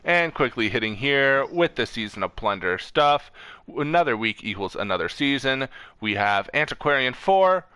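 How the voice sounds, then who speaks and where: Bright, clear-voiced man reading a gaming rundown. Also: mid-range voice, very animated, normal speed, no room sound. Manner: informal, stumbles over words and corrects himself. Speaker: streamer host. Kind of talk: casual gaming weekly rundown, reading off lists.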